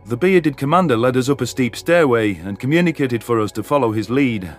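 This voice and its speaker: A man's voice: medium pitch, animated, a little fast. A man reading scripted first-person science fiction narration as an audiobook narrator. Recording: music behind the voice. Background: music.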